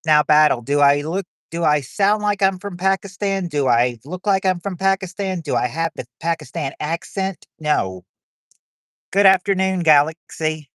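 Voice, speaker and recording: A man's voice: on the high side, normal speed, fairly bright tone, no room sound, clean studio sound. A man hosting a livestream of a quiz game, rambling as he reads chat and greets viewers.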